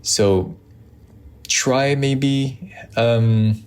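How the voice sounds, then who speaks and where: A man's voice: mid-range voice, extremely slow, darkish tone, clean tone. A man from Montreal whose first language is French, speaking with a Quebec French accent. He muses to camera in a loose, reflective way, with many ums and restarts. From Montreal, Canada. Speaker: vlogger. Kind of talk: reflective casual talk to camera.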